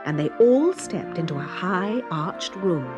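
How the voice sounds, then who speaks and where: A woman reading a children's story as narrator, in an expressive, dramatic storytelling manner. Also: lowish voice, measured pace, music in the background.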